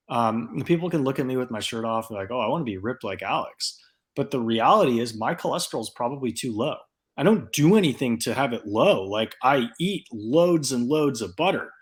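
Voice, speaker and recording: A man hosting a casual podcast, talking things through in a loose, reflective way with frequent fillers. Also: medium pitch. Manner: thinks aloud with fillers, relaxed and reflective. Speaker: podcast host.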